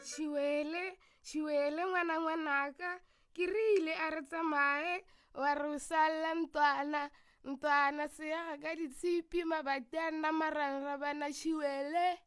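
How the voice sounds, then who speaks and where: A woman's voice: sits high, level tone, moderate pace, a bit bright, faint music behind. A woman voicing a grandmother who sings to her granddaughter and speaks with worry. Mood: singing. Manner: sung verses and anxious speech.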